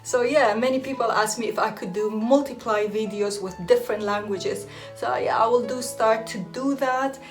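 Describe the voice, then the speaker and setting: A woman's voice: on the high side, fairly even intonation, normal speed, soft background music. A young woman from Iraq whose first language is Aramaic, chatting casually to camera as a hair and beauty vlogger, laughing often and restarting her sentences.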